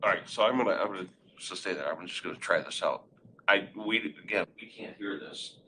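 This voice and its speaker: A man's voice: low voice, fairly quick, bright, forceful tone, a little hiss or hum, low-quality recording. A man co-hosting a casual webinar from a meeting room, informally prompting his colleague with questions and summing up points. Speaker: webinar co-host asking questions. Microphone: conference room array microphone.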